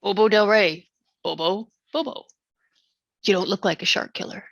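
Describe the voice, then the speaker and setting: A woman's voice: mid-range voice, moderate pace, vocal fry, dry recording. A woman playing a dry, exasperated small-town mayor with sarcastic delivery in a comedy table read. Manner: sarcastic, deadpan.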